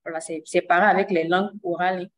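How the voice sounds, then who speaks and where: Low-voiced woman interpreter explaining. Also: little pitch movement, fast, a little hiss or hum. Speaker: sign language interpreter. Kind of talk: webinar interview answer.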